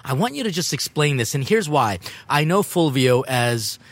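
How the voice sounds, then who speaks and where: A man's voice: on the high side, big pitch swings, moderate pace, dry recording. A man hosting a podcast, playful and teasing, often turning to explain words to his audience. He talks from a table outside a cafe while scooters pass by. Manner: playful, explains terms to listeners. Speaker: podcast host. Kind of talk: casual interview hosting. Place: outside a cafe with street traffic.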